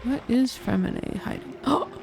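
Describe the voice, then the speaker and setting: A woman's voice: a little high, unhurried, creaky, over music. A woman streaming a video game, reacting aloud in casual, exclamatory commentary. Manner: exclamatory, talking back at the game. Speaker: live streamer.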